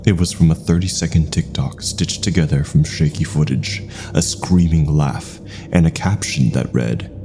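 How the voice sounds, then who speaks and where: Very deep, gravelly male horror narrator. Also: moderate pace, hardly any pauses, noisy background. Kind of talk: scripted first-person horror narration.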